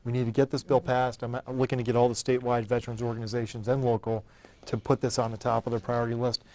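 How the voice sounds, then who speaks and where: A man who is a state senator, talking quickly and forcefully about a bill he is pushing on his own talk show. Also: mid-range voice, flat delivery, no echo, low-quality recording. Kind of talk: public affairs talk show.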